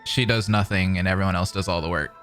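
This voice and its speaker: A woman's voice: rumbling low, steady tone, fast talker, soft background music. A young woman doing casual, teasing, slangy live commentary as a gaming streamer reacting to a game.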